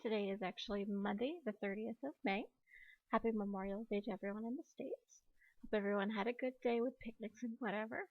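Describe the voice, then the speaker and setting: A woman in her thirties hosting her own podcast, talking in a chatty, quick way with frequent apologetic asides. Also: mid-range voice, fairly even intonation, dry recording, phone-quality sound. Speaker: podcast host. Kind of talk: casual solo podcast chat.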